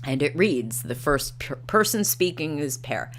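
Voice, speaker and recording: A woman's voice: deep voice, animated, a little slow, slight background noise. A woman hosting a livestream, chatting casually and reading articles aloud with frequent ums and uhs. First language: not Norwegian. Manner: hesitant, many ums and uhs, reads quotes aloud. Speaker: livestream host.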